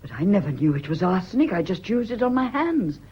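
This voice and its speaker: A woman's voice: fairly low pitch, very animated, brisk, breathy edge, highs cut off. An actress playing a genteel, anxious lady's companion in a radio play, defensive and protesting under suspicion. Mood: distressed, protesting.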